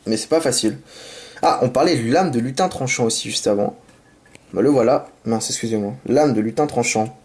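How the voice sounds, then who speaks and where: Man, slightly sick sore throat, chatty, apologetic, excitable, casual card-pack commentary. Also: medium pitch, a little fast. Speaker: YouTuber opening card packs. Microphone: camera mic.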